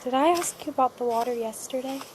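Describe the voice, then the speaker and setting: Woman acting a frail, high-voiced, despairing girl. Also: slight background noise, natural voice, poor audio. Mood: weak, dazed. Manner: halting, faltering, plaintive speech. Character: exhausted, frightened girl crossing a desert, despairing and fragile. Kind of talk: cinematic audio drama acting.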